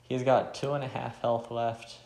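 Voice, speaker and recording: A man's voice: medium pitch, level tone, a little slow, vocal fry, a little mellow. A man giving casual commentary as he plays a game. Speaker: gamer playing a visual novel. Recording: roomy sound, faint music behind.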